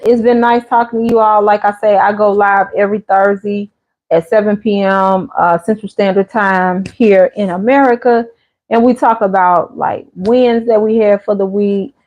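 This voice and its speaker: A woman, chatting easily and answering viewers as she streams. Her voice sits at an ordinary pitch, and the sound is a little dull and lo-fi. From Chicago area. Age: 50s. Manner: laid-back, chatty, answers viewers' comments. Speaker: channel host. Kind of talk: casual live-stream chat.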